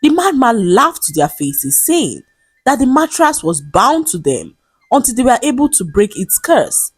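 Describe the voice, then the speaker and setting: A woman narrating a scripted folktale in a measured, expressive storytelling manner. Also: on the high side, husky, no echo. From Nigeria. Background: background music.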